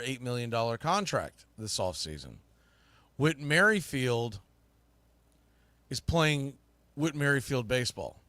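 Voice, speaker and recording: A man's voice: medium pitch, a bit bright, no echo. An American man hosting a baseball podcast, giving emphatic, opinionated, folksy off-the-cuff commentary. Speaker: baseball podcast host and broadcaster.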